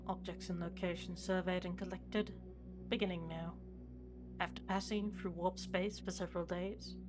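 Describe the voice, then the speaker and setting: A woman playing a dutiful, formal starship prefect with a measured, official manner in a sci-fi audio drama. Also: on the low side, lightly acted. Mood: official, dictating.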